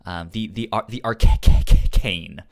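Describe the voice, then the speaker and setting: A man co-hosting a casual comics discussion, stuttering on sounds and syllables in a halting, informal way. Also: fairly low pitch, faint music behind. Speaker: podcast co-host reviewing comics.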